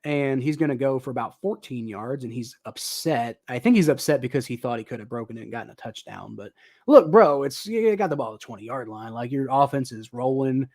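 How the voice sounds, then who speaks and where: A young man doing casual live football commentary, thinking out loud with lots of ums and uhs, his voice a little dry and raspy. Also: mid-range voice, expressive, a little fast. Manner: rambling, thinking aloud with many ums and uhs. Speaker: livestream host commentating football.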